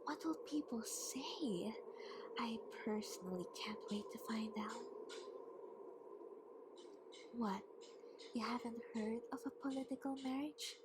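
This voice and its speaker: A woman's voice: a little high, normal speed, heavy background noise, close to an everyday voice. A woman playing a haughty, teasing vampire queen in an ASMR roleplay, often half-whispering in a taunting, mock-polite way. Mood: smug, taunting. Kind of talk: ASMR roleplay, scripted monologue.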